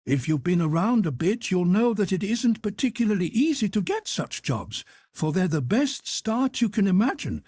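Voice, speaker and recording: Storybook role: a pressing, kindly head cook of fifty, voiced by a man, husky, high and animated. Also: moderate pace, dry recording. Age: 50s. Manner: effusive, pressing, motherly. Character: eager fifty-year-old woman, a hotel head cook.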